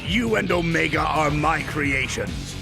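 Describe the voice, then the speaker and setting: A bombastic mad scientist from a video game, high-voiced and gravelly, swinging wildly through theatrical threats. Loud music runs behind the villain. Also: male voice, expressive. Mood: menacing. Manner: theatrical, blustering, drawn-out threats. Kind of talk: video game cutscene acting.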